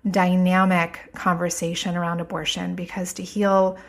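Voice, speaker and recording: A woman hosting a podcast, speaking a little stuffed up from a cold, earnest and slow on her emphatic phrases. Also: on the low side. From United States. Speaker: podcast host. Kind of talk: podcast intro monologue.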